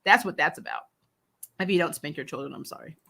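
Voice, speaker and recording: A woman's voice: low voice, quick pace, gravelly, bright, forceful tone. A woman hosting a casual fan livestream, giving candid, emphatic opinions in slangy, chatty commentary. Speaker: host of a fan recap livestream.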